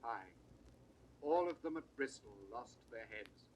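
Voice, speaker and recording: An actor playing a solemn lord bearing grim news, speaking classical verse in a formal, measured manner. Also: male voice, mid-range voice, expressive, a little hiss or hum, lightly acted, low-quality recording. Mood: grave. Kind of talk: classical Shakespearean verse acting.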